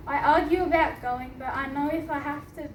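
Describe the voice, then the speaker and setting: A woman's voice: high voice, fairly even intonation, normal speed. Teen girl reading her essay, earnest, recorded from afar in a noisy, roomy hall. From Australia. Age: teenager. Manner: earnest, with small laughs. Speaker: student reading her story. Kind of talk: reading a personal essay aloud. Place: hall at a book launch. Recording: echoey hall from far off, noisy.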